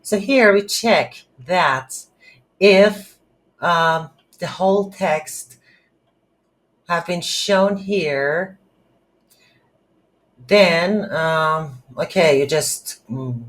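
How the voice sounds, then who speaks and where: Slow, halting coding tutorial instructor. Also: medium pitch, fairly bright tone. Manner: halting, thinking aloud. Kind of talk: unscripted screen-recorded coding tutorial. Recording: light background noise.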